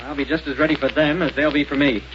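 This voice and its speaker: An actor playing a confident, calm space pilot hero in a 1930s radio drama. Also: male voice, fairly high pitch, fast talker, close to an everyday voice. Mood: determined. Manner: calm and assured. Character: brave heroic space pilot, adult man, confident. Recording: dull lo-fi old radio recording with loud hiss.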